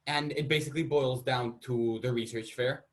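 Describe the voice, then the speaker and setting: A man's voice: medium pitch, steady tone, moderate pace. Casual young man presenting research. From Colombia. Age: young adult. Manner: casual, self-deprecating. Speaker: student panelist.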